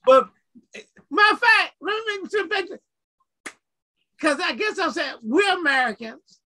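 A man in a faith discussion who talks with lively energy and keeps affirming the other speaker with quick encouragements. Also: very high-pitched.

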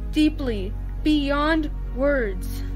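A woman's voice: high voice, drawn-out words, a little mellow. A woman acting an apologetic, anxious, emotional mother of a prince in a radio play, speaking hesitantly. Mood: remorseful. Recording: music behind the voice.

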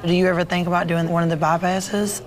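A woman's voice: on the low side, level tone, fast. Lady in her 40s, blunt and chatty, music playing behind her. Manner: chatty, blunt, filler-heavy. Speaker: reality show star. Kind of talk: casual reality TV chat. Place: salon and living room interviews. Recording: background music under voice.

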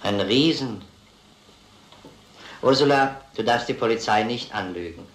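An actor playing an earnest, methodical police lieutenant, speaking deliberately and plainly in an old crime drama. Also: male voice, mid-range voice, lightly acted. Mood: probing. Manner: deliberate, plain-spoken, addresses children gravely. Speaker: police investigator. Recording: echoey, noisy old film soundtrack.

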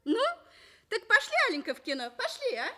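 A woman's voice: sits high, big pitch swings, brisk, sharp tone, full character voice. An actress playing a bubbly, teasing young woman in a Soviet radio drama, exuberant and coaxing. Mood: playful coaxing.

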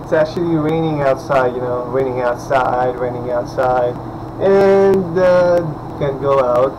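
A young man from the Philippines, hoarse from just waking up, rambling casually to his webcam at home with lots of fillers. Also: medium pitch, unhurried, noisy background. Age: young adult. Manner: rambling, chatty, many fillers and restarts. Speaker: vlogger. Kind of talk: casual vlog talk to camera. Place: indoors at home, small room. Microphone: webcam at desk distance.

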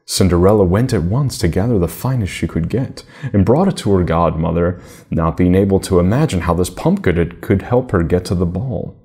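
A middle-aged man narrating a children's fairy tale in a measured, deliberate manner. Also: fairly low pitch, warm tone, husky. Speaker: storybook reader.

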